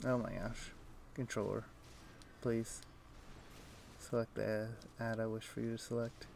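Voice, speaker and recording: Low, creaky-voiced man streaming a game. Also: level tone, a little slow, a little mellow, slight background noise. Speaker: game livestreamer. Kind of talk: casual gameplay commentary.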